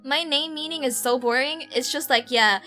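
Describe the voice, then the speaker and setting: A woman with a fairly high voice streams a game, chatting in loose, slangy rambles. Her delivery is animated and giggly, with faint game music playing behind her. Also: moderate pace. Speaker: livestreamer. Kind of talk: casual livestream chat while playing a game. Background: video game music.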